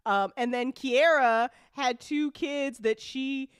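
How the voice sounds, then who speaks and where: A woman chatting casually in a group about a show, talking in an animated way and quick to laugh. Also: high voice, smooth, no echo, studio quality.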